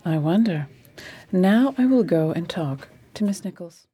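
A woman's voice: fairly low pitch, animated, a little slow, background noise. An actress playing a shrewd, stern lady detective with a formal, commanding manner in a period radio mystery. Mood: cool, stern. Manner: crisp commands, unsentimental, formal.

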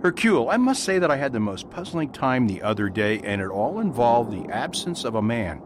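Brief: male actor as a gentle priest, expressive pitch. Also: noisy background, close to an everyday voice. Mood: narrating. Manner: gentle, reassuring, measured. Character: kindly, gentle middle-aged male priest. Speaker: Father Brown. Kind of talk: amateur radio drama.

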